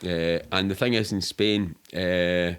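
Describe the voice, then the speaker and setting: A man's voice: deep voice, fairly even intonation, takes it slowly, clean tone. A middle-aged man with a Glaswegian Scottish accent, telling anecdotes casually in a candid, rambling, sweary way. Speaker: podcast guest.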